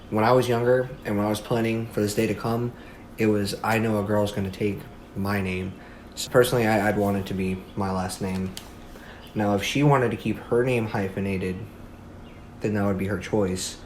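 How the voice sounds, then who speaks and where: Guy with lowish voice, informal interview opinions, emphatic, bit of background noise. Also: poor audio. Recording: noticeable background ambient noise.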